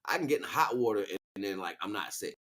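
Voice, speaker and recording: Man, lowish voice, casual livestream monologue, slangy, rambling, frequent rhetorical check-ins. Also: expressive, quick pace, a bit bright. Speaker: livestream host.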